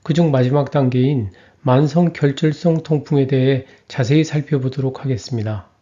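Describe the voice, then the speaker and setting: A man reading a scripted health lecture in an even, measured way. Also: medium pitch, lo-fi sound.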